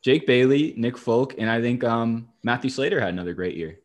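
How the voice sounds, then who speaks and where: A man's voice: mid-range voice, lively intonation, fairly quick. A man co-hosting a sports podcast in casual banter, with a dry, wry, profane way of talking.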